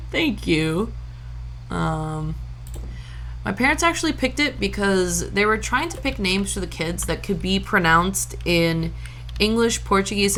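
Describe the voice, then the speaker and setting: A woman's voice: fairly low pitch, fairly bright tone, slight background noise. A woman from Brazil streaming a farming game, chatting casually and playfully, humming nonsense sound effects and tossing out jokey mock-old-fashioned lines. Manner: jokey mock-archaic asides.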